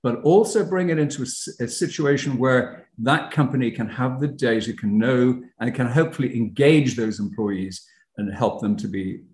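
A man's voice: mid-range voice, normal speed, darkish tone. An Irish man, a company founder, explaining his business in a conversational interview with frequent ums and restarts. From Ireland. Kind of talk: casual interview talk. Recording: roomy echo.

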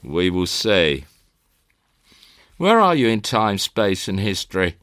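A man playing a cool, probing interrogator in a theatrical drama, questioning in a formal, deliberate, insinuating way. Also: low-pitched, big pitch swings, fairly bright tone, no room sound, studio quality, exaggerated voice. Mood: probing, clinical.

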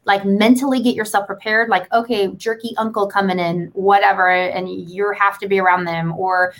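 A woman hosting a talk show, chatting casually and motivationally in an animated, rambling, earnest way. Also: medium pitch, moderate pace.

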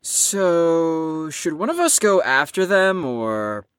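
A woman's voice: deep voice, sing-song, takes it slowly, clean tone, no room sound, exaggerated voice. A woman voicing a brash, teasing young male troublemaker in an audio drama. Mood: defensive. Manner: teasing, cocky, drawling.

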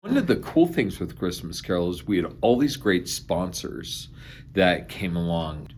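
A man's voice: low voice, sing-song, measured pace, darkish tone, a little hiss or hum. A man, a theatre director, explaining in an interview in a matter-of-fact way.

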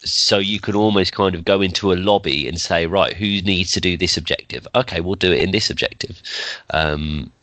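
A man's voice: low-pitched, moderate pace, fairly bright tone, no room sound. A man hosting a casual podcast, chatty and laughing often, a bit nasal from a cold. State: has a cold, slightly nasal. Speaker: podcast host.

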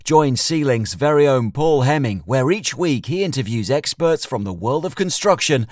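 A man's voice: mid-range voice, animated, moderate pace, darkish tone, no echo. A man reading a scripted promotional voice-over as a podcast intro announcer. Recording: dry studio voice over music. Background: faint music.

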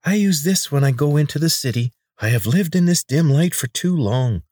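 A man voicing an oily, pudgy male healer with a nasal, squeaky, wheedling voice. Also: expressive, moderate pace, mellow, dry recording, studio quality.

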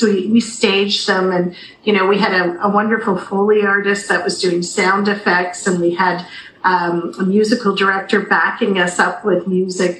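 A Canadian woman answering interview questions conversationally and enthusiastically over a video call, as a theatre director and radio guest. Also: mid-range voice. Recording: roomy video call, faint noise.

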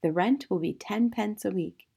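A woman voicing a blunt male farmer in a storybook, plain-spoken, gruff and emphatic. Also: mid-range voice, normal speed, dark tone. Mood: grudging.

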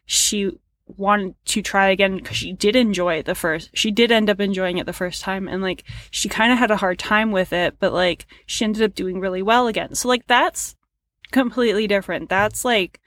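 A woman's voice: medium pitch, moderate pace, fairly bright tone, dry recording. A woman chatting casually about a competition show, rambling with lots of fillers and frustrated sighs. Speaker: co-host reacting to a competition show. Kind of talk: casual reaction chat.

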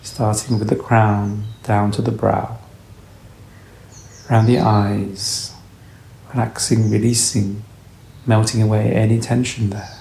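A man leading a guided meditation, speaking in short, soothing, gentle instructions. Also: fairly low pitch, little pitch movement, takes it slowly, gravelly, long pauses. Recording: roomy echo, faint background noise.

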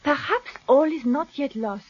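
A woman's voice: high voice, expressive, unhurried, muffled recording. An actress playing an elegant, flighty French kept woman with a theatrical French accent in a radio drama. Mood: airy, searching. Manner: theatrical, coquettish.

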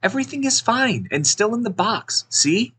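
A man voicing a sweet, earnest, excitable young girl in a children's storybook. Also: high voice, normal speed, slight background noise, pitched above the performer's own voice, exaggerated voice. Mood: reassuring. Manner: earnest, expressive storybook delivery.

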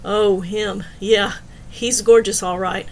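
A woman's voice: medium pitch, steady tone, slow, a little mellow, a little hiss or hum. A woman narrator voicing a wry, friendly, unruffled female bartender in an audiobook, teasing and matter-of-fact.

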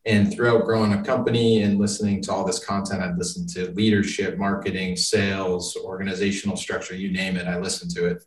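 A man's voice: low voice, level tone, brisk, few pauses. An American man from the Boston area answering interview questions in a conversational, explanatory manner. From suburban Boston, United States. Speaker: interview guest, business professional. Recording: echoey room, distant microphone.

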